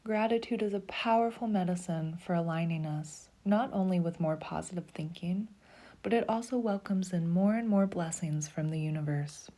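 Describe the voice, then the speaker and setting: A woman guides a meditation in a low, mellow voice. She speaks slowly and soothingly, often sinking into a breathy half-whisper. Her tone stays dark and warm throughout. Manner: slow, soothing, even pacing.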